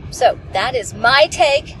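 Woman passenger, 40s, lively rambling chatter, a bit high, road noise in the car; dashboard camera. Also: takes it slowly. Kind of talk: casual chat. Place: inside a moving car.

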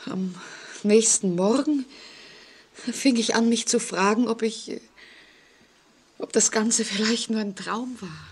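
An actress playing an anxious, distrustful woman in an old German radio play, speaking haltingly with suspenseful drama. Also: fairly high pitch, husky, full character voice. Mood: haunted, anxious. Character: frightened, haunted woman living alone, anxious and distrustful. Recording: vintage 1940s radio recording.

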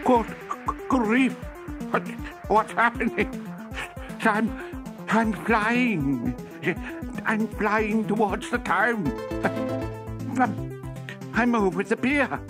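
A man's voice: sits high, very slow, pauses often, over music, pitched above the performer's own voice. A man voicing a cheerful, absent-minded professor in a storybook, stammering and exclaiming as he goes. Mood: amazed.

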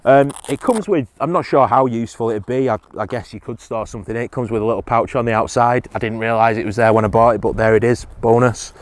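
A man's voice: medium pitch, quick pace, hardly any pauses. A man, casually reviewing survival gear outdoors on a hillside, hesitant with many ums and restarts.

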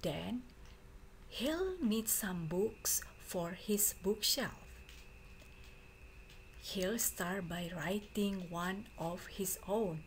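A woman teacher reads aloud for a class at a slow, careful pace. Her pitch sits in the middle range for a woman, and she sets out each phrase deliberately. There is faint noise behind her voice. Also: lively intonation. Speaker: teacher reading aloud to students.

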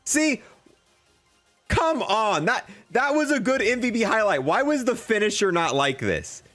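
A man hosting a game review video with excited commentary, talking in an enthusiastic, slangy bro style. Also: sits high, unhurried, soft background music.

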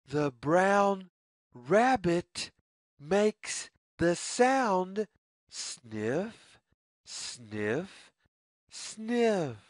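Someone reading a kids story super slow, pitch swinging up and down, dry close sound. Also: big pitch swings, dry recording. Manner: slow, deliberate, phrase-by-phrase sing-song reading. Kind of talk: children's read-aloud story narration.

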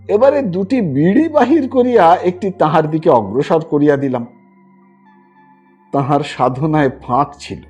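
Husky, animated male storyteller. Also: high voice. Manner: expressive, theatrical storytelling. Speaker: audio story narrator. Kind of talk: dramatic scripted literary story narration. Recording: music bed behind the voice. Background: background music.

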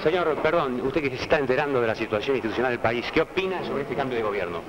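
A man's voice: medium pitch, animated, fast talker, a crowd in the background. A young man with an Argentine accent doing formal, insistent vox pop interviews as a street reporter on old news film. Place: outdoors on a busy city street. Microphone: handheld microphone. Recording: dull noisy 1970 archive newsreel audio.

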